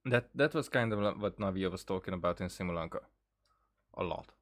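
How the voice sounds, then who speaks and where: A man's voice: low voice, a little fast, a bit bright. A man giving casual, rambling game commentary, thinking aloud hesitantly and often repeating words as he goes. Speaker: gaming video creator.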